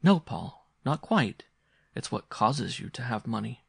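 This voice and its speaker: Audiobook mother, read by a man: disappointed, bitter, measured and dismissive with her child, close dry sound. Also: medium pitch, big pitch swings, vocal fry, breathy edge, dry recording, heavily acted. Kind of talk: literary audiobook character voice.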